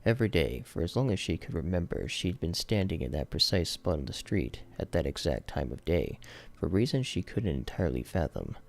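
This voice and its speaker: A man's voice: low voice, sing-song, a little fast, creaky. A man giving a serious, dramatic reading of fiction as an audiobook narrator.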